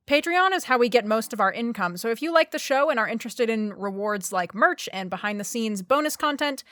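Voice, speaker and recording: A woman's voice: on the high side, a bit bright, no room sound. A woman reading a scripted podcast announcement to supporters and listeners. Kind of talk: scripted announcement thanking patrons.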